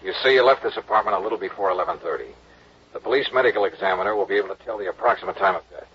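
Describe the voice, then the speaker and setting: A man's voice: fairly low pitch, very fast, a little hiss or hum, close to an everyday voice. An American man playing a tough, wisecracking insurance investigator in a 1950s radio detective drama, clipped and pressing. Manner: clipped, hard-boiled, pressing. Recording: dull old radio recording.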